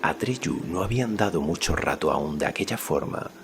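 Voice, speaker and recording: A man narrating a scripted fantasy story as an audiobook, with a measured, suspenseful delivery and a gravelly voice. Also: rumbling low, lively intonation, background noise. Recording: music behind the voice.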